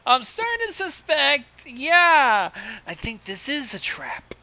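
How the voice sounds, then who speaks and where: An excitable commentator of unclear sex, reacting to a story with dramatic, drawn-out exclamations and jokes. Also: high voice.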